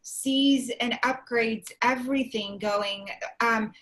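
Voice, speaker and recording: A woman hosting a video call, speaking in an earnest, deliberate way. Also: a little high, steady tone, a bit bright, rough recording. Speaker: host. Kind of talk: casual chat.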